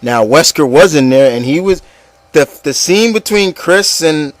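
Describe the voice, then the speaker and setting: A man's voice: fairly high pitch, animated, a little slow, no echo. A man hosting an opinion show, chatting casually and informally to his listeners and co-hosts. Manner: informal, conversational, addressing listeners as guys. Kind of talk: casual opinion chat about movies.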